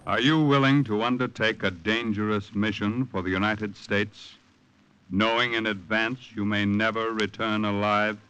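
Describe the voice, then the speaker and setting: An actor playing a sober, authoritative American colonel in a 1950s radio drama, speaking in a measured military manner. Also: male voice, lowish voice, fairly even intonation, bright, forceful tone, clear, resonant tone. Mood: grave. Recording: dull vintage radio recording.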